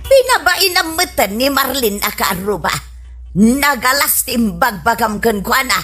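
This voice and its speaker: A woman acts out a scolding Ilocano wife in a radio comedy. Her high voice swings widely as she nags and exclaims in exasperation, with music underneath. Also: sing-song, unhurried, a bit bright, slightly rough, exaggerated voice. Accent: Filipino, Ilocano. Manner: nagging, exclamatory.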